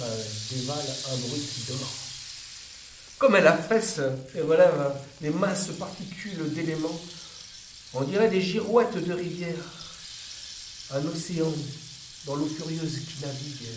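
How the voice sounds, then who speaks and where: A man reciting a poetic dramatic monologue in a measured, deliberate way, with pauses between phrases. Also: on the high side. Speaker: monologue performer. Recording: roomy, distant, noisy with water-like hiss.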